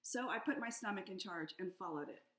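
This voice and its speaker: A middle-aged woman reading her own children's picture book aloud at home, in a playful, expressive detective-story style. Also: mid-range voice, fairly quick. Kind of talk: storybook read-aloud narration. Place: home room with high ceiling. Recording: echoey room.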